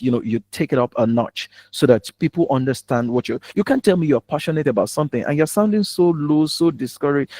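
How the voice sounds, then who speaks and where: A man's voice: mid-range voice, warm tone, no echo. A man with a Nigerian accent giving an instructional talk as a public speaking coach, emphatic and given to rhetorical questions.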